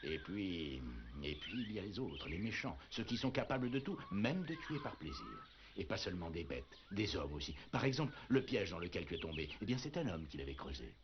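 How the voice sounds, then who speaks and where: Elderly villager for a cartoon: low, very animated, coaxing and kindly, muffled soundtrack. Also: male voice, a little fast, noisy background. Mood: earnest. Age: older. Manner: coaxing, chatty, full of questioning tags. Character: kindly elderly village man, fussing, talkative and gentle. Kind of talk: French-dubbed animated cartoon acting. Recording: dull lo-fi cartoon soundtrack, loud music behind.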